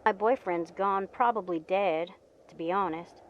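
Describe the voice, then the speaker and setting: A woman's voice: medium pitch, a little slow, natural voice. A woman playing a blunt, matter-of-fact young survivor in a motion comic, speaking frankly. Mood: frank, casual. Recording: dull lo-fi audio, faint background noise.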